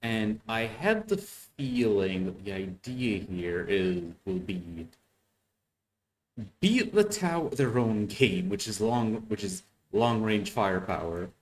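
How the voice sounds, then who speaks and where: A man giving casual, off-the-cuff reaction commentary, thinking aloud in a halting way. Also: fairly low pitch, big pitch swings, slight background noise, poor audio.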